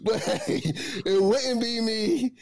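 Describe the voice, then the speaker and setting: A middle-aged American man chatting casually on a podcast as a panelist, telling things in a colloquial, animated way. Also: extremely high pitch, slow pace, no room sound.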